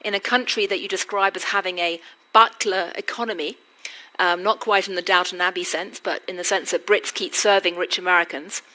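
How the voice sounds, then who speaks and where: A woman's voice: on the low side, steady tone, fairly quick, pushed and bright, rough recording. A British woman interviewing a guest on stage before a live audience, with a witty, teasing manner. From Britain. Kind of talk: onstage conversation.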